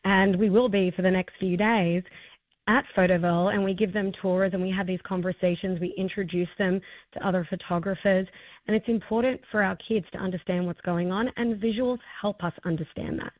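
A hoarse woman in her forties with an Australian accent, a festival co-founder answering interview questions enthusiastically, with many fillers and restarts. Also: medium pitch, steady tone, brisk, no room sound. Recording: tinny phone line, dry.

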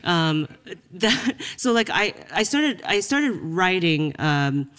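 A woman's voice: on the low side, big pitch swings, slow, fairly bright tone. A woman from Japan chatting as an interview guest. She rambles in loose, looping sentences and breaks into laughter often. Her delivery is playful and comic. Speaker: comedian guest. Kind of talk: casual interview chat.

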